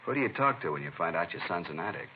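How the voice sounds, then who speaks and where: A helpless, distraught American father in a vintage radio drama. His voice is very low and his speech halting and troubled. The recording is muffled, with faint noise. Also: sing-song. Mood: despairing. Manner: halting, upset. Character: distraught middle-aged father, anguished and helpless. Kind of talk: 1950s radio drama acting.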